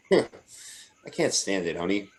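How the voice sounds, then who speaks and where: A man's voice: sits low, very animated, slow, heavily acted, low-quality recording. A man playing an adoring, playful young fiancé in an old-time radio drama, sweet-talking and coaxing. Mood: happy, tender.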